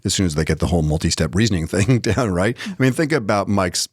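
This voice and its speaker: A man with a deep voice co-hosts a discussion podcast. He talks informally, thinking his points through aloud and framing them as questions. The recording is dry, with very little room sound. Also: animated, quick pace, breathy edge, no room sound. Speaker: podcast co-host.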